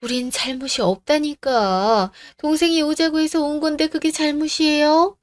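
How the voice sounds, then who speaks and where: A woman's voice: high-pitched, fairly even intonation, moderate pace, faint music behind, pitched above the performer's own voice, natural voice. A woman voicing a self-justifying, bickering older in-law who whines and blames, in a radio drama. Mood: whining, blaming. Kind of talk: radio drama story acting.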